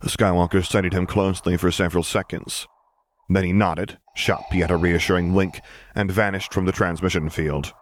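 A man reading a story aloud as an expressive audiobook narrator. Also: sits low, normal speed, a little hiss or hum.

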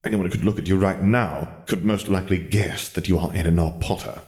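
A man's voice: deep voice, a little fast, a little mellow, lightly acted. A man voicing a stern, disdainful wizard mentor, lecturing in a dry, precise way for a story reading.

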